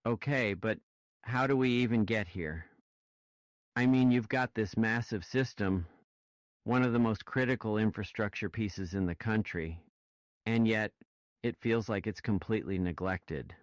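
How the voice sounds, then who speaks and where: A man's voice: lowish voice, a little slow, dry recording. A man in a casual podcast discussion, speaking emphatically and in a questioning way, on a slightly muffled recording. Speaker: podcast panelist.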